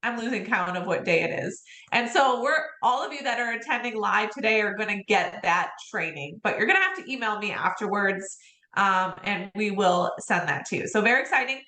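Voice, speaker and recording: A woman hosting a training livestream, chatty with a few fillers and hoarse because she is losing her voice. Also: medium pitch, animated, brisk, sharp tone, roomy sound. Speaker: host of a real estate training livestream.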